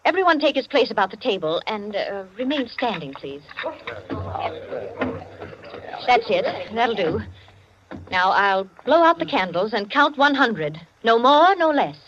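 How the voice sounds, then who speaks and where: An actress playing a stern, commanding society hostess in 1940s radio drama, speaking formally and emphatically. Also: female voice, on the high side, very animated, fairly bright tone. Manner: slow, emphatic, authoritative, formal. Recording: muffled old 1940s radio recording.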